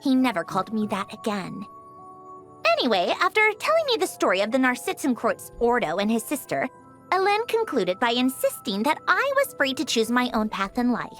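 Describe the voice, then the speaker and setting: A haughty, refined marionette villainess from a video game, speaking in a high, wildly melodic voice. She is prim and theatrical, quick to sigh and dismiss, yet has a soft side. Music plays behind her. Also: wildly swooping pitch. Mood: wistful. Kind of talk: scripted video game character voice lines.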